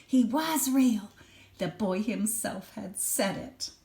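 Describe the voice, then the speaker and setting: Older woman, grandmotherly storybook reader, unhurried, with gentle murmured asides to a little listener. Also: medium pitch, expressive, vocal fry, background noise. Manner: gentle explaining to a small child, murmured asides. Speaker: grandmother reading a picture book aloud. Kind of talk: children's story read-aloud with chatty asides.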